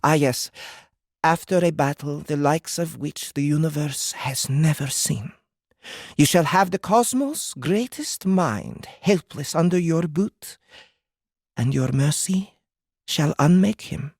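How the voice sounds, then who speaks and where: A woman playing an ominous, sardonic fortune-teller in an audiobook drama, with a creaky voice and a portentous, dry manner. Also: low-pitched, expressive, takes it slowly, a little mellow, no room sound, heavily acted.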